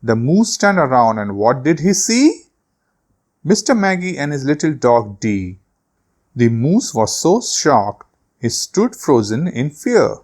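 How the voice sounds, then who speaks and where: A man hosting a children's read-aloud, telling a storybook in an animated, theatrical way. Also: medium pitch, slow.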